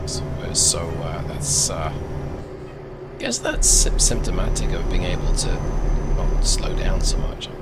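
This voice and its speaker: Low-voiced man narrating a driving game. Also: takes it slowly, heavy background noise. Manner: relaxed, thinking aloud, frequent ums and restarts. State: slightly croaky from lack of fluids. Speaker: gaming video host. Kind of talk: casual gameplay commentary. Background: loud music and truck game sounds.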